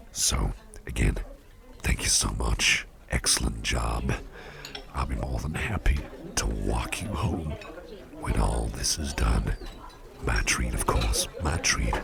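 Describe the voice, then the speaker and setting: A man voicing a gracious, devilish male boss in an ASMR roleplay, praising the listener in soft whispers. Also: rumbling low, gravelly, heavily acted. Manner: effusive, softly whispered praise.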